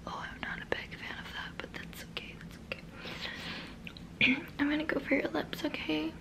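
A woman in her twenties doing a whispered ASMR roleplay, breathy and very close to the mic, softly repeating words. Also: sits high, flat delivery. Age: 20s. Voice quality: breathy, mostly unvoiced whisper. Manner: soft, halting, repeats words slowly. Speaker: ASMR creator. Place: small bedroom, indoors. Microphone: very close to the microphone.

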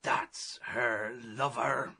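A man's voice: mid-range voice, steady tone, drawn-out words, creaky, pushed and bright, husky, heavily acted. An actor in a radio drama playing a garrulous, grumbling old working-class man who gossips in rambling, folksy speech. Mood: gossipy. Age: older.

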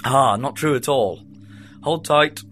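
An actor playing an eccentric, jovial time traveller in an amateur audio drama. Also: male voice, mid-range voice, takes it slowly, fairly bright tone, slight background noise. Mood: breezy. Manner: airy, jovial, upper-class British.

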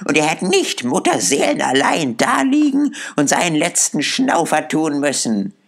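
Shrill, cackling old woman, coarse and taunting, swooping high with a rough edge, as a male reader puts her on. She lingers over words in a drawn-out, jeering drawl. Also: wildly swooping pitch, full character voice. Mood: defiant. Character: brash, defiant old woman thief. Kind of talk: audiobook character voice.

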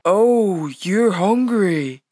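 A woman voicing a kind, cheerful elephant in a children's puppet show, giving simple, gentle instruction. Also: medium pitch, expressive, extremely slow, a little hiss or hum. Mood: pleasantly surprised. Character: kind, cheerful elephant who loves cooking. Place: studio voice-over. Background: children's music.

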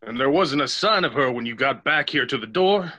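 Husky, forceful small-town sheriff. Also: male voice, medium pitch, animated, a little fast, no room sound. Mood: skeptical. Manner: folksy, authoritative. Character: skeptical small-town sheriff, steady and folksy. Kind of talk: old-time radio acting.

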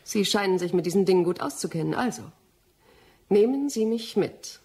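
Husky, scheming lady scientist. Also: medium pitch, expressive, moderate pace, dark tone. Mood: calculating, confiding. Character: shrewd, scheming female pharmacy expert, coolly blackmailing. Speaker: radio drama actress. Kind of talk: German radio play acting.

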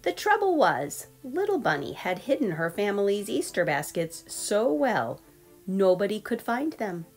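A woman reading a storybook aloud for young children, expressive, measured and clearly phrased. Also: mid-range voice. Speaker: storybook reader. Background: soft background music.

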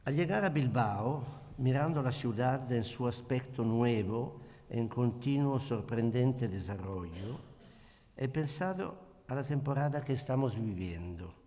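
Older Italian-accented lecturer, slow, mellow, reads reflectively; table mic, hall reverb. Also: male voice, mid-range voice, expressive. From Italy. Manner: slow, measured, reflective reading. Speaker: guest speaker. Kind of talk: lecture read from notes. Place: conference hall at a panel table. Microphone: table microphone.

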